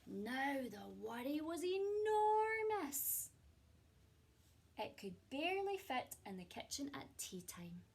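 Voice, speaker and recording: A woman reading a children's picture book aloud in an expressive storytelling way, as a teacher speaking to young listeners. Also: high-pitched, slow, creaky.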